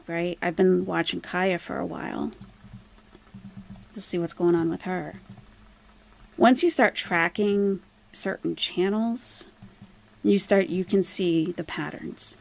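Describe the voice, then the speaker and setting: Slow-paced woman livestream host with creaky voice. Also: fairly low pitch, a little mellow, low-quality recording. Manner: emphatic, explanatory, rhetorical questions. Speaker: livestream host, YouTube commentator. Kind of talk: casual live commentary, chatting with viewers.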